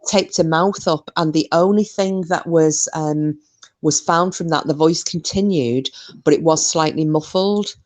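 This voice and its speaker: Low-voiced chatty woman. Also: unhurried, a little mellow. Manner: chatty, many fillers and restarts. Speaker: podcast co-host. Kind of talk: casual chat about a paranormal case.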